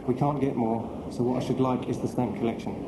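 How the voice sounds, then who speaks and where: An actor playing a cheerful young British naval sub-lieutenant with an English accent, reading a letter home in a chatty, understated way. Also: male voice, mid-range voice, steady tone, quick pace, roomy sound, heavy background noise, close to an everyday voice.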